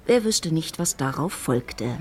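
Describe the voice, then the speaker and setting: A woman's voice: low-pitched, big pitch swings, unhurried, darkish tone, soft background music. A woman narrating a literary radio drama in a scripted storytelling style.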